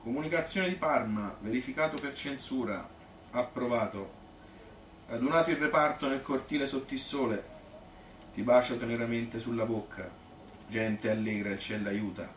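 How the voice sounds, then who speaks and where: A man's voice: mid-range voice, moderate pace, a bit bright. A man with a Tuscan accent, a stage actor reading old love letters aloud in a theatrical monologue. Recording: roomy, noisy, distant stage recording.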